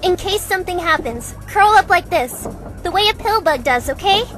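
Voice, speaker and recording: A woman playing a protective young older-sister figure in a dramatic visual novel fandub, earnest and gently firm. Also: extremely high pitch, expressive, normal speed, soft background music. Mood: urgent reassurance.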